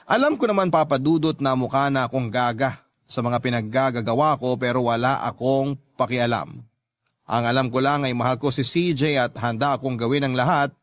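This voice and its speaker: A Filipino man narrates a heartfelt love story for radio. His voice is medium-pitched and earnest, with a sentimental delivery and a close, dry studio sound. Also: a bit bright, dry recording. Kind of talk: dramatic first-person narration of a listener's love letter.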